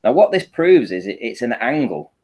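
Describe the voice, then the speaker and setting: A man's voice: mid-range voice, very animated, normal speed, warm tone. A man speaking informally as a panel guest, talking listeners through images step by step and checking they follow. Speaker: panel guest presenting a photo analysis. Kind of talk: informal explanatory talk over shared images.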